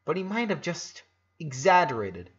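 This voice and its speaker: A man's voice: on the high side, big pitch swings, normal speed, slightly rough, lo-fi sound. A man narrating a story aloud as an amateur audiobook reader.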